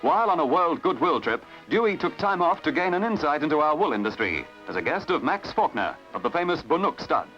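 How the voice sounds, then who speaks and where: A man with an Australian accent narrating a newsreel in a brisk announcer style, on a dull old archival recording with music behind. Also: a little high. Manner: brisk announcer delivery with lively intonation.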